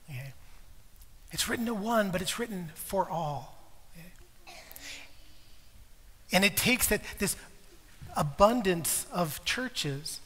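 A middle-aged man preaches from the front of a large church sanctuary, his voice pitched high for a man. He talks in a relaxed, conversational way, checking in with his congregation every few phrases. His voice rings with the long reverb of the hall, picked up on a lapel mic. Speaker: pastor. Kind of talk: sermon.

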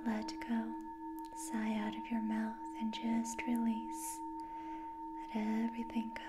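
A young adult woman guiding a relaxation in a soft, breathy ASMR whisper, soothing, gentle and unhurried. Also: fairly high pitch, completely flat delivery, very noisy. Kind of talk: whispered ASMR guided meditation. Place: small dim quiet room indoors.

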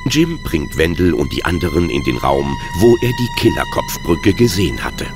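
A man's voice: rumbling low, huge pitch swings, normal speed, over loud music. A man narrating a children's audio drama as a scripted storyteller.

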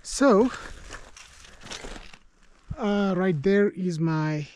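A man talking to viewers while hiking a mountain trail, halting and full of fillers, recorded on a camera mic outdoors. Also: high voice, expressive, a little mellow, a little hiss or hum. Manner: halting, many fillers and restarts, addresses viewers as guys. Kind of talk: casual trail vlog commentary.

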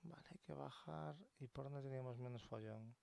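A man's voice: mid-range voice, brisk, vocal fry. A man with a Castilian Spanish accent giving casual, colloquial let's-play commentary, thinking aloud and swearing now and then. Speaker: gameplay video host. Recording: loud game music behind. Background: loud game soundtrack and ambience.

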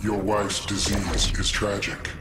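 An actor playing a cold, emotionless cyborg villain in a video game, speaking in formal, clipped, precise phrases. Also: male voice, lowish voice, little pitch movement, slow pace. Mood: cold, menacing. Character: cold emotionless evil cyborg seeking human feeling. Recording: game music behind.

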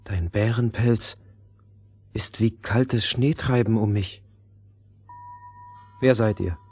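An actor playing a headstrong young boy in a fairy-tale audio play, with an expressive, youthful manner. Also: lowish voice, slow, husky, faint music behind, heavily acted. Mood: hesitant, entranced. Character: young boy, headstrong, scornful, easily enchanted.

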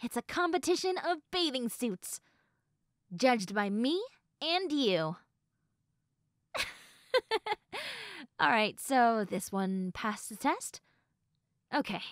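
Playful high-voiced young woman acted by a woman. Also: no room sound, clean studio sound. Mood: upbeat, chatty.

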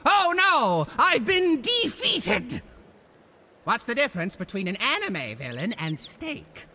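A man's voice: extremely high pitch, wildly swooping pitch, slow pace, bright, forceful tone, slight background noise, heavily acted. A man voicing a boastful, theatrical cartoon monster villain for a video game, with a hammy, swooping delivery. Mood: gloating, manic.

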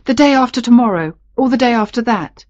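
Woman acting a proud, protesting duchess, high-pitched. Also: lively intonation, fairly quick, darkish tone, dry recording, highs cut off, natural voice. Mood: scolding, fond. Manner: refined, protesting. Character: proud married duchess, reluctant yet fond.

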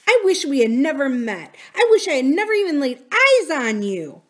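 A woman's voice: high-pitched, big pitch swings, a little slow, darkish tone, pitched above the performer's own voice. A woman voicing a timid young girl who protests defensively in an audiobook story. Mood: angry, bitter.

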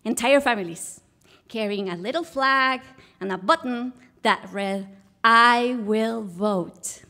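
Higher-voiced Guatemalan woman telling her story. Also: slow, sharp tone. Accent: Guatemalan Spanish. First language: Spanish. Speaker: guest storyteller. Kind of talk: personal story told to a live audience. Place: live stage show.

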